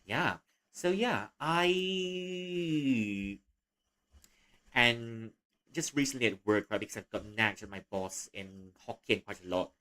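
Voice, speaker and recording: A young man from Malaysia chatting casually on a livestream, rambling with frequent restarts and soft laughs. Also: medium pitch, lively intonation, slow pace, pauses often.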